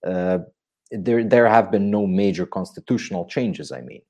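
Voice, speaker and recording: A man's voice: low-pitched, normal speed, a little mellow. A man hosting a political interview, speaking in a thoughtful, wordy way that often corrects itself mid-sentence. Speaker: podcast host.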